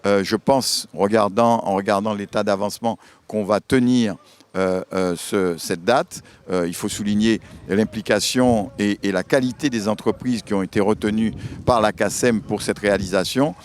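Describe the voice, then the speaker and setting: Halting man giving an interview statement. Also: on the low side, fairly even intonation. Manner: hesitant, halting delivery with repeated words. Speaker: local elected official.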